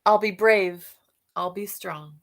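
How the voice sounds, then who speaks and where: A woman reading a picture book aloud to children, expressive, with soft musing questions for young listeners. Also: mid-range voice, slow pace. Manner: expressive reading, alternating with softer musing asides and hums. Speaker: read-aloud storyteller for children.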